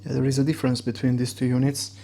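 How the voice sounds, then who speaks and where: Mellow, gravelly Romanian-accented man. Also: mid-range voice, level tone. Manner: halting, searching for words. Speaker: motorcycle gear reviewer. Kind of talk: casual unboxing and demo talk.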